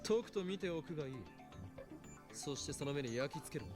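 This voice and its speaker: A man's voice: a little high, lively intonation, racing, over music, close to an everyday voice. A male actor voicing a grandiose, haughty young mad scientist in a Japanese anime visual novel, theatrical and commanding. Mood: commanding, dramatic.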